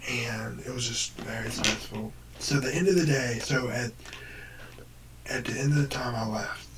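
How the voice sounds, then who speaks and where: A young American man with a deep, damaged voice that drops into breathiness, telling a personal story casually and hesitantly to the camera. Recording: noisy, distant microphone.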